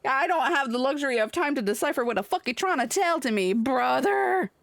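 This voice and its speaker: A woman's voice: high voice, expressive, fairly quick, creaky, fairly bright tone, no echo, studio quality. A woman livestreaming a video game, chatting casually and reading dialogue aloud in an irreverent, blunt, sweary manner.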